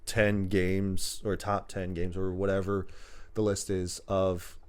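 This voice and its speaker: A man's voice: deep voice, monotone, slow pace, clean tone. A man chatting casually as a podcast co-host, with a rambling, loosely strung way of explaining things. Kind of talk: casual chat about video game movies.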